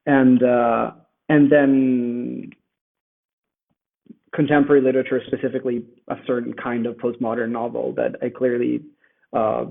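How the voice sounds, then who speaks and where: A man's voice: mid-range voice, little pitch movement, measured pace, gravelly, pauses often. A man whose first language is Italian, speaking thoughtfully as a guest author, with many fillers and restarts, on a remote call. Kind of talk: conversational interview. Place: home in Rome. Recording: tinny phone-like remote call.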